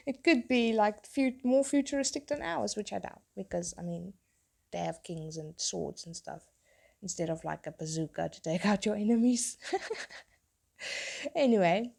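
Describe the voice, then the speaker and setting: A young woman, a tattoo artist, chatting in a rambling way while she draws, her voice hoarse from being sick. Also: mid-range voice, warm tone. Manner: rambling, chatty, self-interrupting. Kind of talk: casual chat while drawing.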